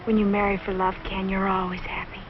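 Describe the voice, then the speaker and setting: A woman's voice: medium pitch, monotone, fairly quick, lightly acted. An actress playing a lovestruck, earnest young bride in a 1950s educational film drama, pleading with her sweetheart. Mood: tender, yearning. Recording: muffled, noisy 1950s film soundtrack.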